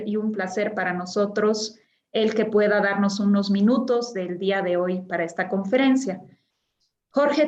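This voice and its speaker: Female moderator, mid-pitched, formal introduction, roomy sound. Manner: formal, polite. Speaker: webinar host and moderator.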